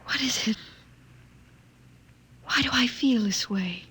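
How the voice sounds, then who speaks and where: An actress playing an earnest, troubled young woman scientist in a 1950s radio drama, with a breathy voice and halting delivery. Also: fairly high pitch, a bit bright, slight background noise. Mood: wondering, tender.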